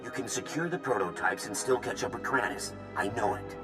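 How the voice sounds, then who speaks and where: Man acting a very deep, earnest officer. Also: lively intonation, a little fast, music in the background, lightly acted. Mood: earnest urgency. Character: earnest, duty-bound Republic officer handling a riot. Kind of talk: dramatic video game voice acting.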